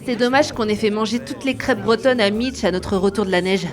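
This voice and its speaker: A woman's voice: mid-range voice, fairly quick, lightly acted. A woman playing a disgruntled office employee in a comedy audio drama. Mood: gossipy. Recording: music clearly behind the voice.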